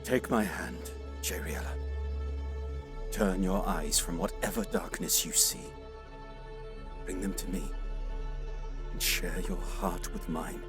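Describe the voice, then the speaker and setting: A man's voice: fairly low pitch, big pitch swings, slow, long pauses, over music, exaggerated voice. A man playing a bold, devout and loving alien warrior in an audio drama, declaiming in a grand, earnest way. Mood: tender pleading.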